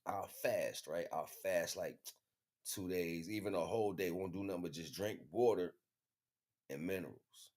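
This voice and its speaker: Very deep-voiced man, casual and slangy. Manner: slangy, animated storytelling with frequent check-ins. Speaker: livestream host. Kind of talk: casual rambling monologue.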